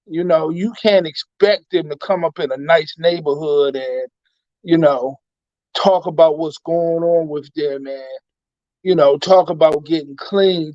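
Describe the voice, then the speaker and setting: Guy on a talk panel, kinda high voice, earnest and streetwise phrasing, muffled dry audio. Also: a little mellow, no echo. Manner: earnest, colloquial, rhetorical questions.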